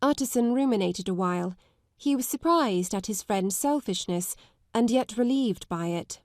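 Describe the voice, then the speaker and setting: A woman giving a measured, articulate narration of a literary audiobook. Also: mid-range voice, animated, no room sound, studio quality.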